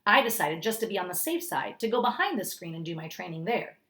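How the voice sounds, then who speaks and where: A woman reading a children's story aloud like a teacher, expressively, pausing to explain things to young listeners. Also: on the low side, big pitch swings, fairly bright tone. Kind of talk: children's story read-aloud with brief asides.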